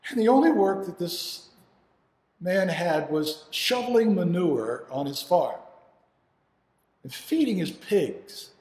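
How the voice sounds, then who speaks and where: A man acting a devoted, grieving father in a dramatic monologue, telling of his lost son haltingly and earnestly, with dramatic pauses. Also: sits high, very animated, darkish tone, heavily acted. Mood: distressed. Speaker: actor performing an audition monologue. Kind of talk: dramatic first-person monologue. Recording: echoey hall with long reverb.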